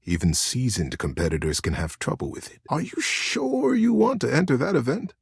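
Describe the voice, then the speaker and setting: A man's voice: sing-song, normal speed, creaky, husky, no echo, studio quality, heavily acted. A man voicing a bombastic, amiable crab storekeeper in a storybook, hearty, kindly and encouraging. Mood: grave, concerned. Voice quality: booming. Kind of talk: storybook character voice.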